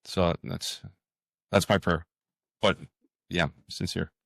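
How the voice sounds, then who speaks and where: Deep voice guy being interviewed, earnest, kinda halting, repeats himself, dry close sound. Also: level tone, vocal fry, a bit bright, no room sound. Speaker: podcast guest, fantasy writer. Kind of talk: interview conversation, reflective.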